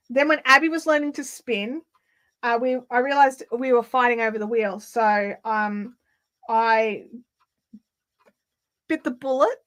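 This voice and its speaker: A woman hosting a casual craft livestream, chatting in a rambling way with lots of fillers and restarts, her throat slightly raspy. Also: high-pitched. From Australia. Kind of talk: casual live chat while spinning. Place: home craft room.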